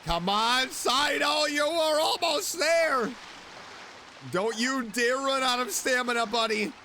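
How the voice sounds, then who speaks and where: Excitable high-pitched man streaming a video game. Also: takes it slowly, slight background noise. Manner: excitable, chatty, talks to self and game character. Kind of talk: casual gaming commentary. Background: game music.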